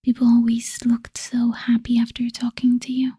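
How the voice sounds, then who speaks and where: A woman playing an obsessive, lovestruck female vampire in a whispered ASMR roleplay, breathy, coaxing and possessive, seductive with quiet menace. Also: on the high side, monotone, moderate pace. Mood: lovestruck, tender.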